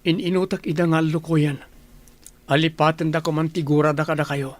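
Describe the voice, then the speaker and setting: An actor playing an earnest, pleading young village suitor in a radio drama, with an Ilocano accent. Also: male voice, fairly high pitch, flat delivery, a little fast, no room sound, natural voice. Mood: pleading, hopeful.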